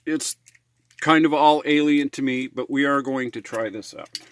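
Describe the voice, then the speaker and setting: A man's voice: mid-range voice, steady tone, normal speed, clear, resonant tone, no echo. An American man talking casually in a how-to vlog, in a rambling, hesitant, folksy way. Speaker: RV living vlogger.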